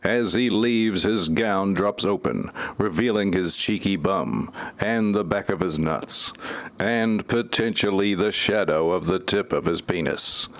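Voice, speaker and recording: Slow, low-voiced deadpan man narrating. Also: very noisy. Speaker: narrator of a parody audio play. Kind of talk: scripted mock-serious narration.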